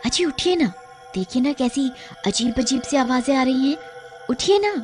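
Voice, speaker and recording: A woman's voice: sits high, lively intonation, normal speed, darkish tone, faint music behind. A woman voicing an anxious, pleading, easily frightened wife in a cartoon story. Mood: urgent pleading. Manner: pleading, fretful. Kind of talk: cartoon voice acting.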